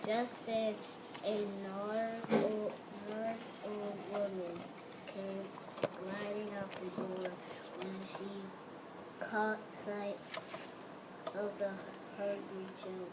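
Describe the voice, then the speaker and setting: A female reader narrating a fairy tale aloud in a halting way, stumbling over and repeating words. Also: mid-range voice, flat delivery, very noisy. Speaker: storybook reader.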